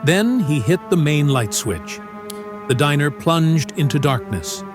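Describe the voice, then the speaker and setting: A man's voice: a little high, sing-song, unhurried. A man delivering dramatic scripted narration as the narrator of an audio drama. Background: music.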